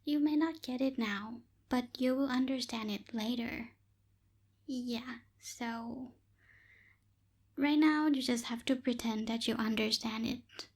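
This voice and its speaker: A young woman voicing a bossy but secretly caring tsundere witch in a close-miked ASMR roleplay, softly scolding and a little hesitant. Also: a little high, slow pace. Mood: flustered, cautioning. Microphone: close binaural microphone.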